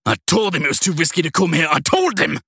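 A man's voice: fairly high pitch, animated, fast, vocal fry, pushed and bright, husky, dry recording, studio quality, pitched above the performer's own voice, full character voice. A man voicing a cornered male criminal in an audiobook, panicked and indignant, with spitting outbursts. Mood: raging. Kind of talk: audiobook character dialogue.

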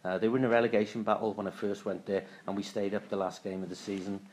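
Soft-spoken man with flat, low delivery. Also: low-quality recording. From Wales. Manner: halting, many restarts and fillers. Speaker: guest, former footballer. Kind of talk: casual interview chat.

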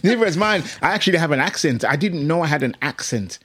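A middle-aged English musician with a British accent touched by Jamaican Caribbean tones, telling stories casually in an interview and laughing often. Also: male voice, a little high, fast talker, slightly rough. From England. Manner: laughs often, animated anecdotes. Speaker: musician guest.

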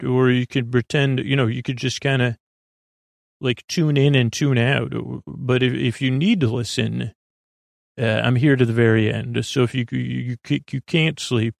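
A man with a gravelly, fry-edged voice of middling pitch rambles as a sleep podcast host. He halts, doubles back and drifts between thoughts, reassuring and undemanding. The sound is close and dry, with no room around it. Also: no echo. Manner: meandering, halting, trailing off with repeated false starts; reassuring, low-pressure. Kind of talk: rambling, unscripted bedtime podcast monologue.

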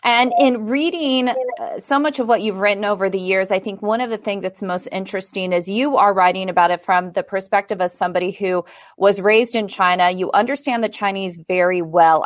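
A woman hosts a podcast in a smooth, polished manner. Her voice sits at a mid pitch and sounds dry and close to the mic, with no room around it. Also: dry recording. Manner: polished, scripted introduction. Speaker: podcast host. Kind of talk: podcast interview hosting.

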